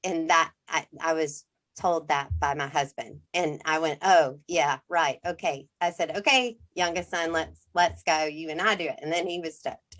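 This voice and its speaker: A woman's voice: sits low, moderate pace, fairly bright tone. A middle-aged woman co-hosting a podcast, telling a personal story in casual chat and acting out what people said. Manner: storytelling, acts out quotes, restarts sentences. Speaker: podcast co-host.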